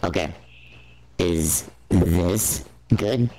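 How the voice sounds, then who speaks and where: A man's voice is dropped very low by a voice changer and drawls extremely slowly. It comes through a noisy video call. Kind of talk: casual joking group chat.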